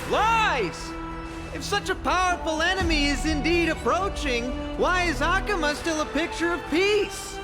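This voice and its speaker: An actor voicing a skeptical heckler who shouts indignant challenges from a crowd in a game cutscene. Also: very high voice, lively intonation, measured pace, over loud music.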